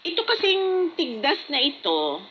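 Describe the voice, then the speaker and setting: Muffled, higher-voiced Filipino woman on radio. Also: wildly swooping pitch, normal speed. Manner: explanatory, seeks agreement with tag questions. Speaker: health programme speaker. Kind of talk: conversational health advice on radio. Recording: muffled, noisy AM radio aircheck.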